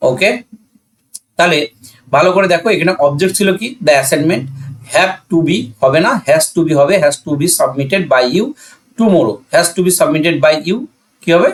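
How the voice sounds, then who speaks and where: Higher-pitched Bangladeshi man teaching. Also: slow pace, rough recording. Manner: didactic, asks and answers own questions, repeats key points. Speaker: English grammar teacher. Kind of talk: classroom-style grammar lesson explanation.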